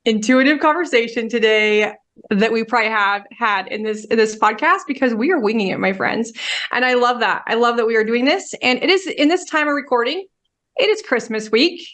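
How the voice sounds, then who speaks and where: A woman's voice: on the high side, lively intonation, a little fast, fairly bright tone. A woman co-hosting a podcast, opening with a gushing, enthusiastic introduction. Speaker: podcast co-host.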